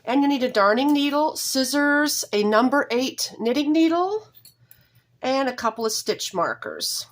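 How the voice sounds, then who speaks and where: A woman with a somewhat high voice, a little hoarse from a cold, walks viewers through a knitting project. She talks slowly and homely, apologizing often and stopping to correct herself along the way. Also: very animated. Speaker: knitting tutorial host. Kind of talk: casual step-by-step craft tutorial.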